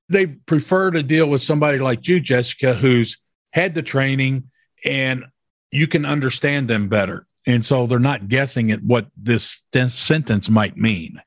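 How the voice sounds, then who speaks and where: A man's voice: medium pitch, no room sound. Emphatic male interview host, dry sound. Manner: emphatic, repeats points with "okay?". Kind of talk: promotional interview.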